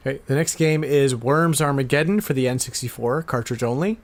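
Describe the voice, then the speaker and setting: A man's voice: mid-range voice, brisk. A man co-hosting a casual podcast, chatting playfully and sometimes muttering to himself in mock exasperation. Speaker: podcast co-host. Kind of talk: casual podcast chat about retro games.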